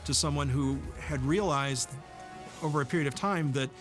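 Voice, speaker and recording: A man speaking in a measured, articulate way as a series writer-producer reflecting in a documentary interview. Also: on the high side, over music.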